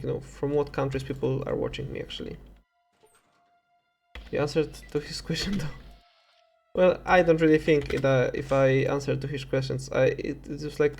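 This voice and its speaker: Young male streamer, Polish accent, slightly high voice, rambling and informal, music underneath. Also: vocal fry, a little mellow. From Poland. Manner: rambling, hesitant, self-correcting. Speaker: video game livestreamer. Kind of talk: casual chat with viewers while streaming.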